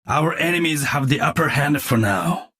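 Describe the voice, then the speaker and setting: An actor playing a disciplined, stoic cyborg ninja swordsman in a video game, with a husky voice, a Japanese accent and formal, clipped phrasing. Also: fairly high pitch, lively intonation, normal speed, fairly bright tone. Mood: composed, confident. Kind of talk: video game combat voice lines.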